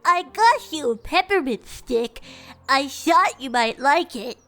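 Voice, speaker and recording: A little cartoon schoolgirl pony with a tiny, extremely high voice. The filly speaks slowly and politely, sweet and eager in a childlike way, over background music. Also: expressive, a bit bright, exaggerated voice. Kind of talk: cartoon pony storybook character voice.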